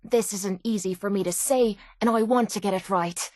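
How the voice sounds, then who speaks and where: An actress voicing a tough, guarded but loyal young Irish pit fighter in a video game, speaking bluntly and colloquially. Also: female voice, mid-range voice, moderate pace, a little mellow, no echo, clean studio sound, lightly acted. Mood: awkward, earnest. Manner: blunt, rough-edged, colloquial. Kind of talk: video game companion dialogue.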